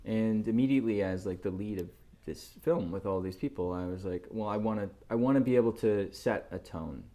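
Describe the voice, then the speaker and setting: A man, the lead actor in a film, looking back in an interview in a halting, casual way, often repeating himself. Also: lowish voice, warm tone. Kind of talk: reflective interview recollection.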